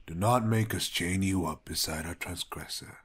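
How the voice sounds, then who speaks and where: A man voicing a cold, self-righteous village elder with a gravelly voice in an audio drama. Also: low-pitched, normal speed. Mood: stern. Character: stern male village elder, zealous punisher.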